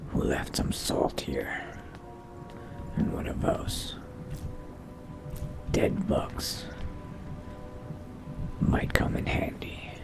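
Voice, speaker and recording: A man voicing a brooding, curious young vampire adventurer in a video game roleplay, musing to himself in a slow, hushed, gravelly whisper. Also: music in the background. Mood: musing, wary. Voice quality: very deep, breathy, gravelly whisper. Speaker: roleplay gamer.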